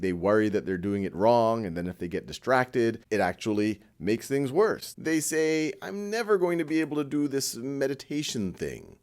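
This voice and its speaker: Male meditation guide: gentle and reassuring, unhurried delivery with a lively, moving pitch.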